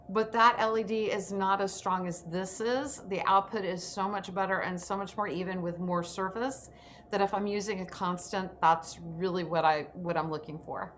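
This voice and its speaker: A woman's voice: mid-range voice, a bit bright. A woman hosting a workshop, explaining informally over a video call with slight background noise. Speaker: workshop host and photographer. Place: online video call, speaking through computer.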